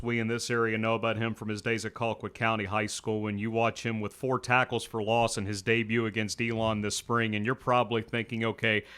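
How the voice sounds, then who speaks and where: A man's voice: fairly low pitch, bright, forceful tone, clean tone. A man co-hosting a sports show, offering conversational commentary and questions.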